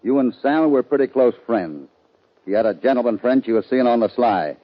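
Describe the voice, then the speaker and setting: An actor playing a steady, commanding cowboy hero in a 1950s radio western, speaking in a firm, terse, authoritative way. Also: male voice, mid-range voice, a little fast, close to an everyday voice. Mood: stern, pressing. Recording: dull, lo-fi 1950 radio recording.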